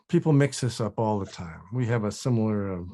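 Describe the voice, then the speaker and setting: A man's voice: on the low side, animated, brisk, warm tone, close to an everyday voice. An actor at a table read playing a wry, wary older male actor with a dry, hesitant delivery. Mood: polite, dry.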